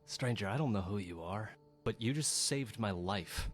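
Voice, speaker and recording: A man voicing a wounded rebel fighter in a video game, weary, strained and halting, with a wry, loyal temper. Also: fairly low pitch, lively intonation, faint music behind, close to an everyday voice. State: injured, in pain. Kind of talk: video game character dialogue.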